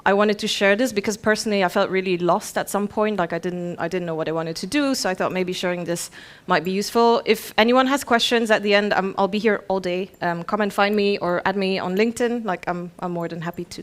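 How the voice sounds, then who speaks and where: A woman in her thirties from Belgium giving an informal conference presentation in a chatty, self-deprecating way. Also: medium pitch. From Belgium, grew up in Bruges. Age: 30s. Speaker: conference speaker, head of product.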